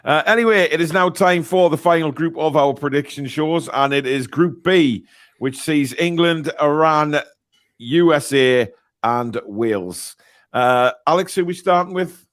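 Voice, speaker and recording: A man's voice: a little high, a little slow, fairly bright tone. Chatty live-stream football host, a man. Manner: chatty, upbeat when reading chat and polls, hesitant fillers.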